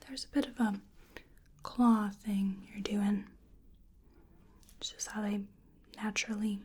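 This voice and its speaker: A woman speaking in a breathy, whispery soft voice, role-playing a medical examiner in an ASMR style, gentle and hesitant as she murmurs to herself. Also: fairly high pitch.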